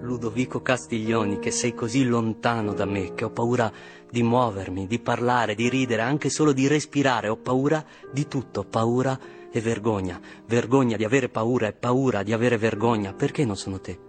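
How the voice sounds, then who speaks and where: A man's voice: mid-range voice, moderate pace, lightly acted. An actor playing an anxious, insecure boy in an intense, rhythmic and repetitive theatrical monologue. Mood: fearful, subdued. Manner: intense, repetitive, incantatory delivery. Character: anxious, insecure Iranian-Italian boy, self-pitying and obsessive. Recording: loud music behind the voice.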